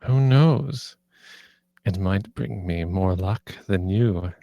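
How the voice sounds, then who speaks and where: Man acting as sadistic duchess villain, low creaky voice, drawn-out taunting, dry close recording, film script read. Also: dry recording, dull audio. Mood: smug, taunting. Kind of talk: screenplay table read acting.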